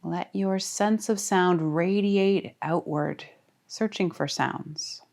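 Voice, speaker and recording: A woman leading a guided relaxation as a yoga teacher, speaking calmly and soothingly with deliberate pauses. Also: fairly low pitch, vocal fry. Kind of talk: guided meditation.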